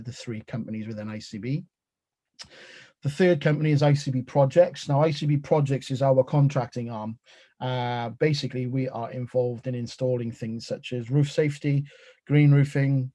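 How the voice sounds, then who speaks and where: A middle-aged Welsh man presents a technical seminar over a video call in a relaxed, conversational manner, with plenty of fillers. His voice is mellow and warm, with a medium pitch. The sound is dry and close, with no room echo. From South Wales. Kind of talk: online professional seminar.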